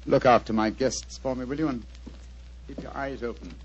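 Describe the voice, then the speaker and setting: A man's voice: mid-range voice, fairly even intonation, normal speed, a little hiss or hum, natural voice. A man acting a suave, courteous middle-aged British detective in an old 1940s-style radio drama. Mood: concerned. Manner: urbane, courteous, clipped. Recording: dull lo-fi old radio recording.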